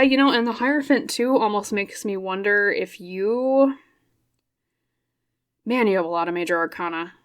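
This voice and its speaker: A woman giving an intuitive tarot reading into a microphone, her voice a little scratchy, rambling along and often checking in with "okay?". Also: mid-range voice. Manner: rambling and reflective, tag questions like "okay?". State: slightly hoarse, scratchy voice. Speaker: tarot reader.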